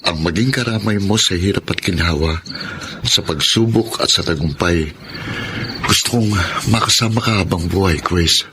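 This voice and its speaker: A man playing an earnest, devoted young groom-to-be in a radio drama, speaking sincerely and gently. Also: deep voice, normal speed, background noise, lightly acted. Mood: tender, earnest.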